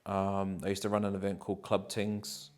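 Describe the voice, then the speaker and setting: A man, answering interview questions in a relaxed, rambling way with many ums and likes. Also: sits low, normal speed. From New Zealand. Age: 30s.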